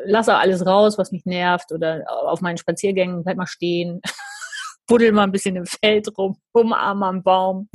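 Husky, effusive woman host. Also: medium pitch. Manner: effusive, rambling, many fillers. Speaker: podcast host. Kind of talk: casual interview chat.

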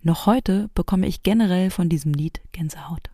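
Female narrator, forties, from East Berlin. Soft, dark tone, close dry recording. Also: fairly low pitch, normal speed, no room sound. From East Berlin, Germany. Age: 40s. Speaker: host and narrator of a private audio piece. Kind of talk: personal scripted narration.

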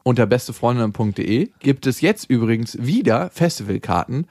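A man's voice: mid-range voice, animated, normal speed, a little mellow, no echo, studio quality. A man co-hosting a podcast, reading listener mail and trading frank, joking banter. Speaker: podcast co-host.

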